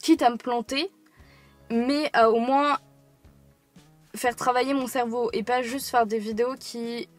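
A woman's voice: on the high side, fairly even intonation, unhurried, pauses often, no echo, faint music behind. An eighteen-year-old woman from France, talking casually to camera from a parked car, rambling with many fillers and restarts. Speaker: YouTuber. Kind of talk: casual talking-head vlog.

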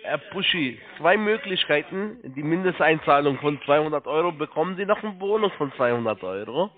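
A man working as a call-centre agent, giving halting step-by-step instructions, repeating himself and spelling things out. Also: fairly high pitch, expressive. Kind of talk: step-by-step phone sales instructions. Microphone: telephone. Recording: tinny narrow phone line.

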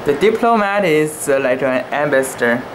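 A young man giving a dramatic children's book reading, expressive and cooing, with asides about the pictures. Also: on the high side. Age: young adult. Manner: expressive, cooing, drawn-out words. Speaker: storybook reader. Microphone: camera at close range. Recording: steady background hum, outdoor noise.

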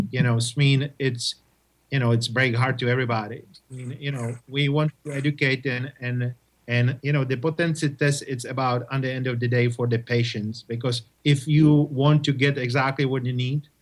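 A man from Czechoslovakia with a Czech accent, answering interview questions in halting English full of restarts. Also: mid-range voice. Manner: halting, many restarts and repeated words. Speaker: guest, testing company representative.

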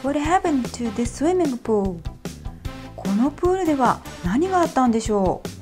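A woman reading a picture book aloud to children, gentle and clear in her storytelling. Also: high-pitched, sing-song, normal speed. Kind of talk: bilingual storybook read-aloud. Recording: faint music behind.

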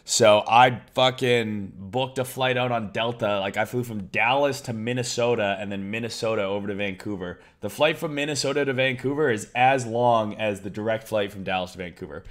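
Hoarse young man, loud trading streamer. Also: lowish voice, normal speed, hardly any pauses. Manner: loud, excitable, swears casually. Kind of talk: casual live-stream chart commentary.

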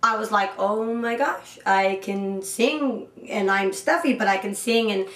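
A woman's voice: medium pitch, measured pace, a bit bright, far from the mic. A woman in her twenties hosting a casual interview at home, chatty and bubbly as she talks about her singing lessons. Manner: bubbly and chatty, with a lot of laughter. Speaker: host, singing student. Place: indoor home room lined with CD shelves. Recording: roomy, a little off-mic.

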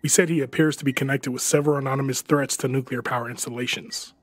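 A man playing an anxious, deferential team leader in an audio drama, subdued and apologetic, with a husky voice. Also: mid-range voice, steady tone, fast talker, close to an everyday voice. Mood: uneasy.

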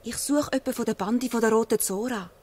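A woman's voice: a little high, fast talker, darkish tone, slightly rough. A woman playing an earnest, pleading young girl in a Swiss German radio play. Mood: uncertain.

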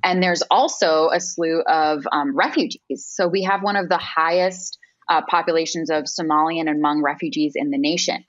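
A young woman from Lebanon talks freely as a guest in a video call interview, circling back with fillers and asides. Her voice sits very low, and the dull, lo-fi call audio makes it sound dry and close. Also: fairly even intonation, moderate pace, no echo. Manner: chatty, with frequent fillers and asides. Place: home room with window.

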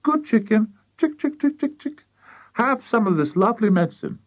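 A man's voice: sits high, big pitch swings, normal speed, husky, no echo, highs cut off, pitched above the performer's own voice, full character voice. A man voicing an eager, earnest young boy in a children's story. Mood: coaxing.

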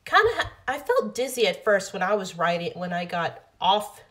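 A young woman tells a casual story straight into her phone at home, looping back and restarting sentences as she goes. Her voice sits at an ordinary middle pitch for a woman. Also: very animated, moderate pace, fairly bright tone. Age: young adult. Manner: rambling, many restarts and fillers. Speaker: vlogger. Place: bedroom at home. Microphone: phone or webcam, close framing.

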